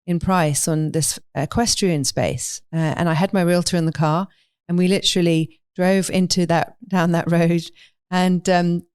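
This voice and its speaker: London english lady, low smooth voice, measured thoughtful podcast guest chatting, super dry sound. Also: steady tone, darkish tone, no room sound. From London, England. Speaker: podcast guest, animal sanctuary owner. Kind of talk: reflective interview conversation.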